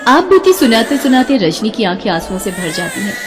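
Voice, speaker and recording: A woman's voice: mid-range voice, very animated, a little fast, in a large hall, a little hiss or hum. A woman narrating a scripted dramatic story.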